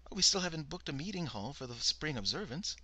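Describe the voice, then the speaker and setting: A man's voice: on the high side, big pitch swings, fast talker, bright, forceful tone, dry recording, pitched above the performer's own voice, heavily acted. A man voicing a pushy, fussy woman secretary in a raised voice. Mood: bossy.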